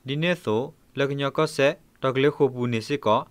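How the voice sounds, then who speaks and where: A man's voice: medium pitch, steady tone, normal speed, clean tone, no room sound. A man presenting the news, reading from a script as a radio newsreader.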